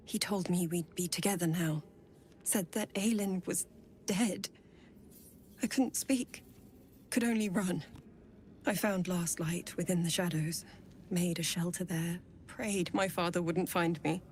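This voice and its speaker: A woman voicing a hushed young woman back from death. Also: lowish voice, slight background noise, close to an everyday voice. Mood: grieving. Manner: slow, hushed, halting storytelling. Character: young woman risen from death, grieving and gentle. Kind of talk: video game voice acting.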